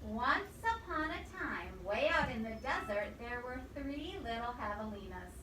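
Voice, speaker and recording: A woman reading a storybook aloud to young children like a teacher, expressively, pausing to ask them questions. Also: fairly high pitch. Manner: expressive, pausing to quiz the listeners. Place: indoor room with children present. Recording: echoey, distant microphone, noisy room.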